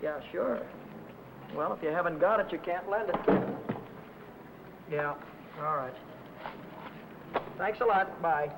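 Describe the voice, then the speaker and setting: An actor playing a restless young auto mechanic short of money, with an American accent and breezy, slangy talk in old crime film dialogue. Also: male voice, a little high, takes it slowly, vocal fry, long pauses. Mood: disappointed. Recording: noisy old 1950 film soundtrack.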